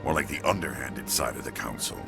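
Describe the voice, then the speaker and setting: Very deep pitch, stern alien police chief, gravelly, curt, authoritative, game dialogue over prominent music. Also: male voice, brisk. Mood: stern, indignant. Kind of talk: video game dialogue acting.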